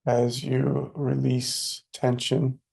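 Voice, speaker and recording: Guy doing a guided meditation, super slow w long pauses, pretty flat pitch, gravelly and soft-toned. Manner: soothing, unhurried, with long pauses.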